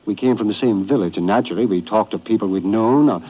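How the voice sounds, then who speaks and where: A man's voice: lowish voice, quick pace, warm tone, slightly rough, poor audio. An actor playing a hesitant, earnest client who hides his real name, in a vintage radio drama.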